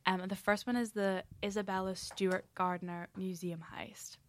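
A young woman from Scotland with a mellow Scottish accent, chatting casually and in a rambling, giggly way as a podcast co-host. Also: mid-range voice, flat delivery, moderate pace, no echo. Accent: soft Scottish. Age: young adult. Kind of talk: casual chat.

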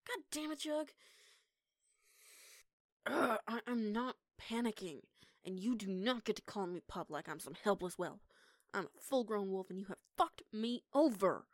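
A woman's voice: medium pitch, lively intonation, dry recording, clean studio sound, lightly acted. A young woman playing a defiant eighteen-year-old punk lone-wolf werewolf in an audio roleplay, with a casual, sweary, sarcastic manner. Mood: angry, flustered. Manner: sweary, casual, sarcastic, halting when flustered. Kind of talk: intimate one-sided audio roleplay acting.

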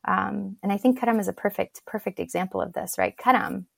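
A woman's voice: mid-range voice, a little fast, creaky, dry recording. A woman from Turkey, a guest on an interview show, speaking in a reflective and encouraging way. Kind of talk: casual interview talk.

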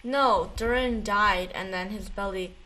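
A woman's voice: medium pitch, unhurried, sharp tone, low-quality recording. A woman chatting casually, retelling things in a rambling, slangy, jokey way. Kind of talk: casual chat.

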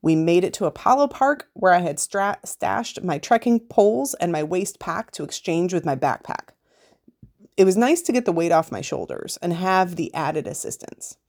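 A woman's voice: fairly low pitch, animated, normal speed, darkish tone. A woman with an American accent, a podcast host reading a written story aloud.

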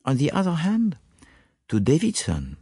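A man voicing a self-assured, courteous and exacting detective in an audiobook, with a pompous, deliberate manner. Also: a little high, huge pitch swings, warm tone, husky, no room sound, clean studio sound, pitched above the performer's own voice, exaggerated voice. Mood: explanatory, pleased. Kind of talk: audiobook character voice.

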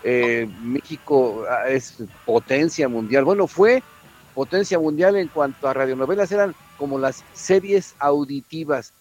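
Wordy Mexican man, medium-pitched voice. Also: lively intonation, a little slow, a little mellow, dull audio. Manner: hesitant, wordy, somewhat formal. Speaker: radio show co-host. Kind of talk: reflective radio chat.

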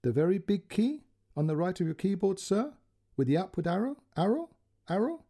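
A man voicing a calm, patient young female call centre worker who keeps politely saying "sir" in a put-on accent. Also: high-pitched, moderate pace, voice pushed up, full character voice.

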